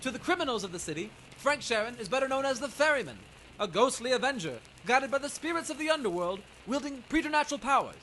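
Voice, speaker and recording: A man's voice: very high voice, lively intonation, fast, pushed and bright, slight background noise, poor audio. A man narrating as a formal, dramatic old-time radio announcer, proclaiming each line.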